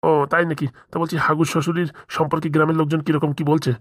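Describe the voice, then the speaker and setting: Man playing a fast-talking scheming cartoon father-in-law. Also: a little high, level tone, a bit bright, no echo, studio quality. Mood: curious. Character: scheming, vain, gullible father-in-law craving moneylending riches. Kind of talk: amateur comedy cartoon dialogue.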